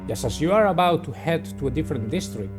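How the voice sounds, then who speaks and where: A man's voice: fairly high pitch, expressive, fast talker, over music. A man in his thirties or forties hosting a video game playthrough, giving commentary and reading text aloud. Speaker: gaming channel host.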